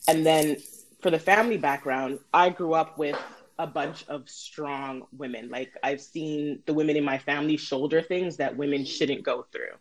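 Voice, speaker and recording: A woman's voice: low voice, moderate pace, low-quality recording. A woman from Trinidad speaking casually as a panel guest, using lots of "like" and "you know.".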